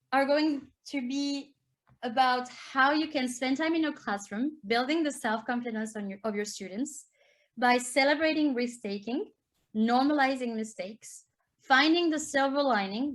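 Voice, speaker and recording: A woman from France with a French accent, giving an earnest, explanatory conference talk as a primary school teacher. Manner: earnest, explanatory, rises in pitch to stress key points.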